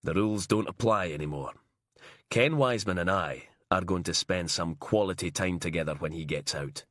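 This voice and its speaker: A man reading a furious, grieving father who speaks slowly and bitterly. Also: low-pitched, a bit bright, no room sound, studio quality. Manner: slow, bitter, menacing.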